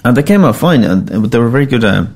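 A middle-aged Finnish-born man with a Finnish accent, a rock musician talking casually in English, his second language, in a halting, rambling, self-deprecating way. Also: mid-range voice, animated, darkish tone, husky. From Finland. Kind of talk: casual spoken account.

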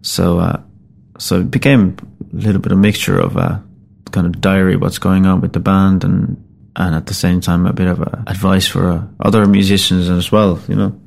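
A middle-aged Finnish-born man with a Finnish accent, a rock musician talking casually in English, his second language, in a halting, rambling, self-deprecating way. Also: low-pitched, little pitch movement, creaky, a little mellow. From Finland. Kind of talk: casual spoken account.

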